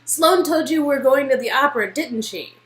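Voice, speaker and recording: A woman's voice: high-pitched, normal speed, a little hiss or hum. A woman voicing an awkward, earnest young tech specialist in a dramatic reading of a story game. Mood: excited. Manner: flustered, earnest, excitable.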